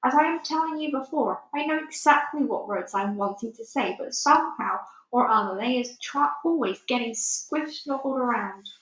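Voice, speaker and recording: A woman voicing a very old, good-hearted male giant from a children's storybook, excitable and easily wounded, talking in muddled grammar and made-up words. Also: a little high, moderate pace, hardly any pauses, pitched above the performer's own voice. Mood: forlorn, apologetic. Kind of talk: children's storybook read aloud. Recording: echoey room.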